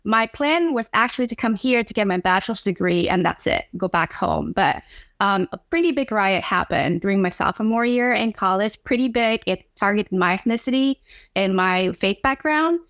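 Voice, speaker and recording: A woman's voice: medium pitch, lively intonation, moderate pace, a bit bright, no echo, clean studio sound. A woman from Indonesia, not a native English speaker, speaking earnestly as a psychologist guest in an interview from her home office. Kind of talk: conversational interview.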